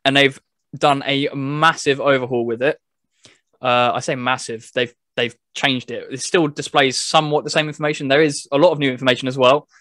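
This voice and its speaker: A man's voice: medium pitch, fairly even intonation, a little fast, fairly bright tone, dry recording, studio quality. A man chatting casually as a podcast host, reviewing a video game.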